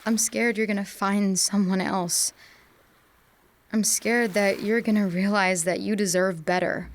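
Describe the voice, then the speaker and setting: A young woman playing an insecure, devoted girlfriend who speaks in a hushed, faltering way in a student radio drama. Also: mid-range voice, steady tone, measured pace, close to an everyday voice. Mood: fearful.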